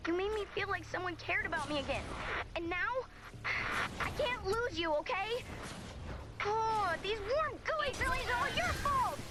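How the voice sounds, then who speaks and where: A woman's voice: very high-pitched, lively intonation, a little slow, very noisy. A woman voicing an emphatic, dramatic young misfit heroine in a video game, sarcastic yet vulnerable. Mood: pleading.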